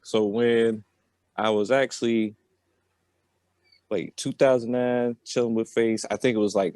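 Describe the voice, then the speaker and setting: A man's voice: on the low side, darkish tone, no echo. A man telling a story on a podcast in a casual, rambling, slangy way. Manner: colloquial, slangy.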